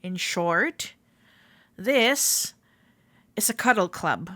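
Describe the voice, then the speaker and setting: A woman playing a friendly, playful club member in a roleplay audio, gently reassuring a shy newcomer as she speaks directly to the listener. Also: mid-range voice, expressive, extremely slow, no room sound, full character voice. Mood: reassuring, coaxing. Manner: gentle, reassuring, playful, hesitant soft-spoken chattiness.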